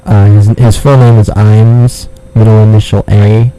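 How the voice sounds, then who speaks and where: A man's voice: lowish voice, flat delivery, measured pace, warm tone. A man making a prank phone call, talking in a crude, taunting way and swearing, over a noisy phone line. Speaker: prank caller.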